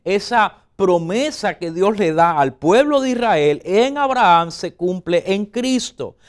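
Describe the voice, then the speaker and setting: High-voiced preacher. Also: male voice, lively intonation, slow. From Puerto Rico. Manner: fervent preaching, addresses listeners directly. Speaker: pastor. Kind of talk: sermon.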